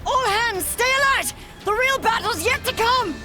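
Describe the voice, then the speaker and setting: High-voiced, resolute woman ship captain. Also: animated, normal speed. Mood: urgent command. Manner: commanding, articulate, formal phrasing. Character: resolute female ship captain commanding in battle. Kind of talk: English video game voice acting. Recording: game music behind the voice.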